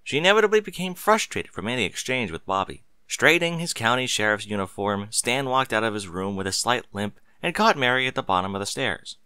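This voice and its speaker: A man reading a story aloud as narrator, in an expressive scripted style. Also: mid-range voice, a little fast, hardly any pauses, clear, resonant tone, no room sound, studio quality.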